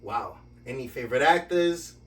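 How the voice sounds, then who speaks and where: A man hosting a podcast from a basement home studio, giving lively, playful introductions and questions. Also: mid-range voice, moderate pace, far from the mic. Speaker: podcast host. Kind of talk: podcast interview.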